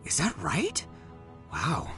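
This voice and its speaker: A man playing a brash, good-natured young gang leader in a video game, with a gravelly voice. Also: a little high, wildly swooping pitch, takes it slowly, heavily acted. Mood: intrigued, friendly. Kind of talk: video game character dialogue. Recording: music behind the voice.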